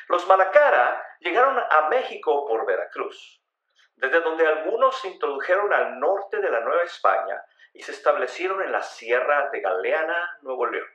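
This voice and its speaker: A man reading a scripted story in a measured, dramatic storytelling way with emphatic phrasing. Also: medium pitch, bright, forceful tone. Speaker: narrator of a radio story. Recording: roomy, echoey voice recording.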